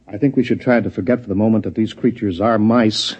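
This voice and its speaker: A man's voice: lowish voice, fairly even intonation, a little mellow, breathy edge. Officious security man, muffled old radio. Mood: earnest, officious. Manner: formal, deliberate, bureaucratic. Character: earnest, officious male government security interrogator. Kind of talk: 1950s radio drama acting.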